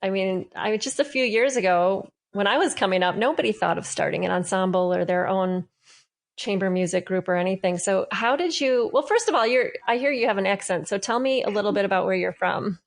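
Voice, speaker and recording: A woman's voice: medium pitch, fairly quick. Upbeat woman podcast host. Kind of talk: podcast interview and ad read.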